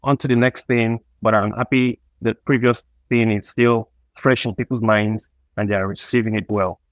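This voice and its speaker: A man's voice: on the low side, steady tone, normal speed, dry recording, rough recording. Nigerian man in a dry, close recording. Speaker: comic book creator, interview guest. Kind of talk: conversational interview answers.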